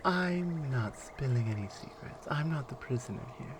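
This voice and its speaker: A woman playing a stern, proud Amazonian warrior with a captive, in a taunting, deliberate ASMR roleplay. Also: extremely low pitch, animated, noisy background. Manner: taunting, deliberate, hushed at times.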